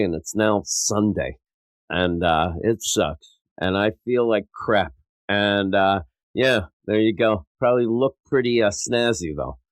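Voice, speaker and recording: A sick, stuffed-up man hosting a podcast in a rambling, self-mocking way, his voice a little rough and wavering. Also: fairly low pitch, dry recording. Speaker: podcast host. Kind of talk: casual podcast monologue.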